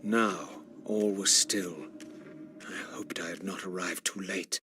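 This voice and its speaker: Low-voiced, brooding vampire with solemn gravity. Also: male voice, fairly even intonation, heavy background noise. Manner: formal, measured, portentous diction. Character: brooding, solemn male vampire, weary and aristocratic. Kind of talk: scripted video game narration, introspective monologue.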